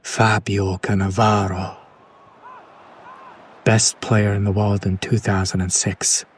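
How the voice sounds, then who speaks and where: A man playing a condescending, smitten kidnapper in an ASMR roleplay, speaking in a hushed, breathy, lingering way. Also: fairly low pitch, little pitch movement, slow, slight background noise. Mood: hushed, admiring. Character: condescending yandere male kidnapper, smitten with his captive. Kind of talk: whispered ASMR roleplay, slow soft recitation.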